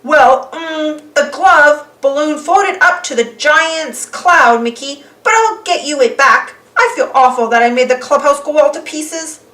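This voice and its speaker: A woman's voice: high voice, expressive, unhurried, few pauses, sharp tone, reverberant, distant mic, pitched above the performer's own voice, full character voice. A woman voicing a bashful, apologetic male cartoon bully in a storybook, with a hesitant, folksy manner. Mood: sheepish.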